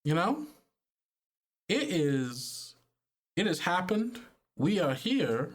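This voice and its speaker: Man voicing a folksy, higher-pitched consoling woman. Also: sing-song, drawn-out words, full character voice. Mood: consoling, halting.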